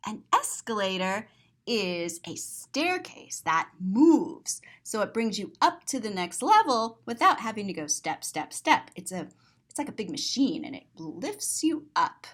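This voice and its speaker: A woman reading a children's story aloud and describing the pictures, expressive and explanatory. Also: mid-range voice, unhurried.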